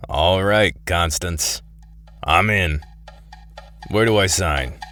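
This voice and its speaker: A man's voice: low voice, slow, pushed and bright, faint music behind, heavily acted. A man acting in an audio play as a wry, casual middle-aged thief. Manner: casual, wry, hesitant asides. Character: wisecracking middle-aged thief recruited to time-travel.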